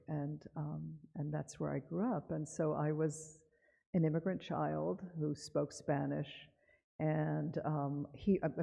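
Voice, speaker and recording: A woman from Cuba giving an unhurried, conversational author talk in a synagogue chapel, reading a poem aloud and often pausing with fillers. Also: deep voice, gravelly, mellow, breathy edge. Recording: dull live broadcast with long reverb.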